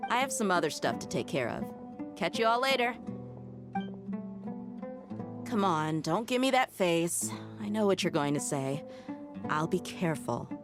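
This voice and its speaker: Confident young adventurer woman, low voice, animated intonation, casual, video game, string music behind. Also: measured pace, pauses often. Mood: breezy, teasing. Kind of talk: video game character acting.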